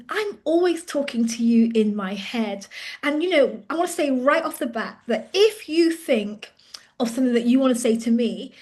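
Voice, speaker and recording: Chatty British woman in her late fifties. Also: sits high. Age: 50s. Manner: warm, chatty, with frequent fillers and restarts. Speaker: leadership coach. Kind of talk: casual direct-to-camera update.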